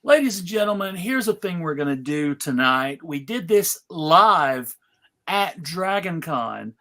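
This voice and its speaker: Bright-voiced man hosting, slow and playful. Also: a little high, very animated. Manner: playful, jokey. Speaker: panel host and convention track co-director. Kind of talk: casual unscripted panel introductions. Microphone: video call.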